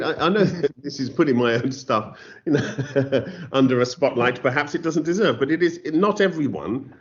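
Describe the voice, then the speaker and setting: English man, novelist guest, self-deprecating, restarts often, muffled lo-fi sound. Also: medium pitch. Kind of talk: interview conversation. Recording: slightly dull lo-fi audio.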